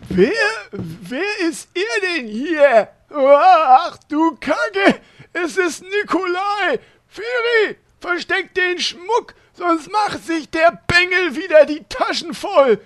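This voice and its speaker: An aged, retired warrior in a comic audio drama. The voice is extremely high and strained, and it drags slowly as he grumbles suspiciously about everyone and harks back to his glory days. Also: lively intonation, full character voice. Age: older. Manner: grumbling, loud complaining. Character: cranky old retired warrior uncle, suspicious and nostalgic. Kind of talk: parody audio drama acting.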